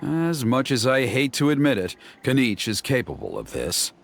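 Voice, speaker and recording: A man's voice: mid-range voice, wildly swooping pitch, normal speed, creaky, a little hiss or hum. A man playing an earnest, courteous tribesman in a video game, speaking in a patient, explanatory manner. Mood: instructive. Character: earnest, courteous male tribesman organizing a sacred ceremony. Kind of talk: video game character acting. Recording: faint background music behind the voice.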